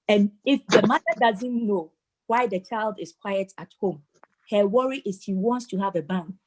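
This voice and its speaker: A woman's voice: medium pitch, a little mellow, poor audio. A woman from Ghana speaking earnestly in a community discussion over a video call, often repeating words as she starts her sentences. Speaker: participant moderating a community discussion. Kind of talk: community meeting talk.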